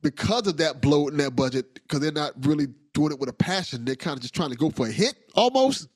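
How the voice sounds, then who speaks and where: A man's voice: on the high side, lively intonation, a little fast, breathy edge, dry recording, studio quality. A man hosting a podcast, talking in a casual, rambling, enthusiastic way with slang. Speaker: podcast host. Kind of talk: casual interview chat.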